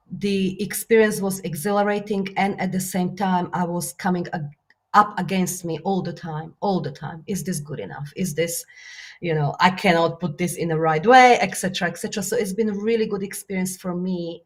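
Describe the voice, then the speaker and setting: A woman from Slovakia with a Slovak accent, talking in an interview as a guest about business and books. She speaks earnestly and reflectively, with many fillers and soft laughs. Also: on the low side. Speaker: guest, business author and coach. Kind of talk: casual interview talk.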